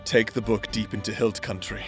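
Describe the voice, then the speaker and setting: A man voicing a menacing, scheming male necromancer in a roleplay audio drama, speaking in a calm, threatening, theatrical way. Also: lowish voice, moderate pace, faint music behind, natural voice.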